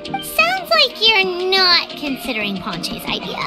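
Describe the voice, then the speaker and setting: Little fairy companion, squeaky high, cutesy, inquisitive, video game, music behind. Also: female voice, wildly swooping pitch, slow pace, heavily acted. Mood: curious. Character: tiny childlike floating fairy girl companion, chatty.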